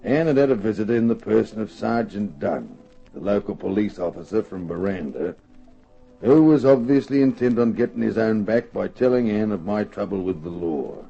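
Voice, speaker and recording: Radio drama casting: first-person storyteller, low, very mellow voice. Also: male voice, vocal fry, slightly rough, exaggerated voice. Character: laconic male storyteller recounting his own tale. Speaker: narrator. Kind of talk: scripted radio drama narration. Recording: dull old radio recording with music.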